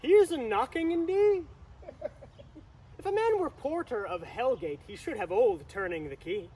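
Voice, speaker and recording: A man's voice: extremely high pitch, expressive, unhurried, dark tone, husky, full character voice. A young man playing a rambling, grumbling porter at the gates of hell in a dramatic Shakespeare reading, recorded outdoors. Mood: mocking, riled. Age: teenager. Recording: outdoor recording with traffic noise.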